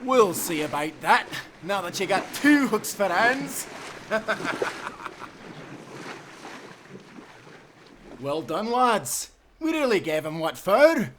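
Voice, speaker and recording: A man's voice: high-pitched, sing-song, measured pace, noisy background. A man playing a bold, defiant Scottish timberman with a swaggering manner in an audio drama. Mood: rousing, combative.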